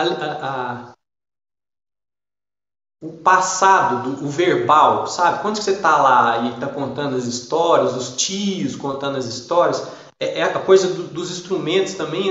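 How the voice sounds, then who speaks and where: A man's voice: fairly high pitch, a bit bright, lo-fi sound. Echoey shy man with a Minas Gerais accent. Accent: Minas Gerais Brazilian Portuguese. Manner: shy, meandering, folksy storytelling. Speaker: interview guest, storyteller and musician. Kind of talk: casual reminiscing interview talk.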